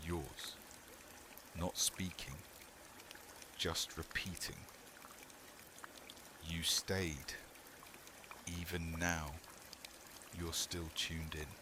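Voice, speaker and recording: Male horror ASMR narrator, low register, unhurried and hushed, short phrases with long pauses, over clearly audible static. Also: steady tone. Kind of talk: scripted second-person horror narration, ASMR.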